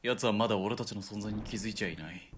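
An actor playing a calm army spotter in a radio drama, giving crisp commands in rough, joking speech. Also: male voice, lowish voice, quick pace, a little hiss or hum, lightly acted. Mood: steady.

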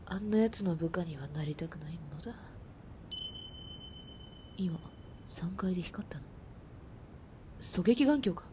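Radio drama marksman: seasoned woman, low murmur, cool disdain, noticeable background noise. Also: lively intonation, a little slow, pauses often. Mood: cool contempt. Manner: hushed, low, disdainful muttering. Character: cool, contemptuous female sniper, veteran instructor. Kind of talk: Japanese radio drama acting.

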